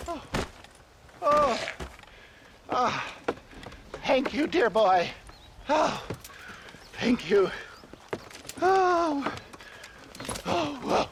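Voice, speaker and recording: Flustered old conman inventor for a video game, wheedling, slow and theatrical, noise in the background. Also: very high-pitched, big pitch swings, exaggerated voice. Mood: flustered pleading. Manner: theatrical, florid, flustered, chuckling. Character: fussy, wheedling old snake-oil salesman and inventor. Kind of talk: video game cutscene acting. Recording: noisy background ambience.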